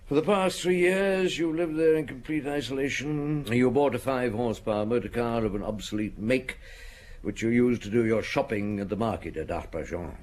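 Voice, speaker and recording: An actor playing a seasoned French police chief inspector in a radio drama, patient and dogged, speaking in a measured, probing, official way with thoughtful hums. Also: male voice, mid-range voice, darkish tone, natural voice. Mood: probing, methodical. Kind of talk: radio drama acting, reminiscing and interrogating.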